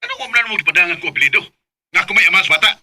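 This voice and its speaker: An actor playing an evasive, pleading young absent boyfriend in a Bisaya radio drama, hurried and defensive. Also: male voice, high voice, pushed and bright, full character voice. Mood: indignant.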